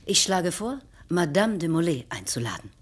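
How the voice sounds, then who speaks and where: An actress playing an imperious, scheming society hostess in a radio play, husky and theatrically determined. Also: female voice, on the low side, sing-song, normal speed. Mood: eager suggestion.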